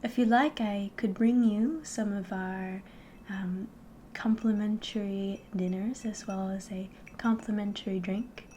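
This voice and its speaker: A woman playing a friendly, curious innkeeper in an ASMR roleplay, speaking softly and politely, often slipping into a whisper. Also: medium pitch, fairly even intonation, a little hiss or hum, natural voice. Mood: hospitable. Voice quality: soft, breathy, often whispering. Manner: gentle, unhurried, polite, hospitable. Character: friendly, curious female innkeeper of a fantasy tavern.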